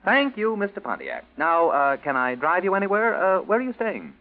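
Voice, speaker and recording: An actor playing a slick, mercenary lawyer in a 1940s radio drama, glib and insinuating, on a thin old recording. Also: male voice, high-pitched, huge pitch swings, moderate pace, narrow, tinny audio, full character voice. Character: slick, mercenary male lawyer, brisk and knowing.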